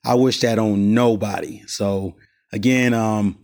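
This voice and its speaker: A man hosting his own sports podcast in a loose, rambling way, voice raspy while he recovers from a stomach virus, recorded on a cell phone outside his studio. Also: lowish voice, steady tone, a little slow, a little mellow. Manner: loose, rambling, many fillers and restarts. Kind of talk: casual sports talk. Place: not in the studio.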